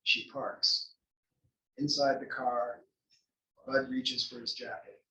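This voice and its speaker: A man's voice: medium pitch, flat delivery, slow, bright, forceful tone. A man reading scripted stage directions as the narrator at a play table read. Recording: echoey room, distant mic.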